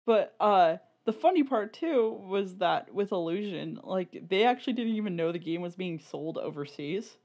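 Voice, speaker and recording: A woman's voice: on the low side, lively intonation, normal speed, hardly any pauses, a little mellow. A woman co-hosting a podcast in loose, casual discussion, informal and often saying "like". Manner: informal, peppers talk with "like" and laughs easily. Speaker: podcast co-host.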